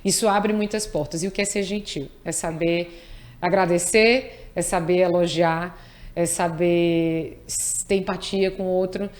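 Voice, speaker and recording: A woman's voice: on the low side, steady tone, measured pace. A woman, a tax lawyer talking earnestly in an interview and repeating key words for emphasis. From Piauí, Brazil. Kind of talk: conversational interview.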